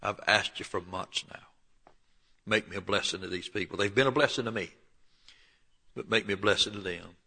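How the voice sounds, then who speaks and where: A man in his seventies with a Southern Alabama accent, preaching and telling his life story in a folksy way, often clearing his throat. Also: on the low side, steady tone, moderate pace, long pauses, pushed and bright. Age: 70s. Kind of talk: sermon with personal testimony. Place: church.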